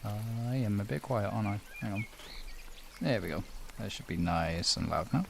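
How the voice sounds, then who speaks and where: A man's voice: lowish voice, moderate pace, creaky, slight background noise. A British man chatting casually on a livestream, replying to viewers in an informal, rambling, sweary way. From United Kingdom. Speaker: livestream host.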